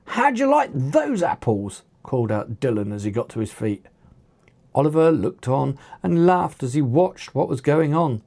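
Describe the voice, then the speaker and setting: A narrator reading an action story as its author, with animated, dramatic delivery and acted dialogue. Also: mid-range voice. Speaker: author narrating own book.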